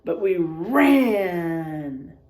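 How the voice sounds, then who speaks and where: Teacher lady reading a story to first graders, slow and super expressive. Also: fairly low pitch. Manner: animated, gentle, asks the children questions. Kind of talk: reading a picture book aloud to a class.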